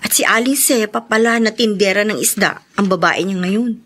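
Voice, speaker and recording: A woman's voice: medium pitch, brisk, a bit bright, close to an everyday voice. An actress playing a troubled, sorrowful young woman in a radio drama, speaking plaintively and earnestly. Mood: hurt.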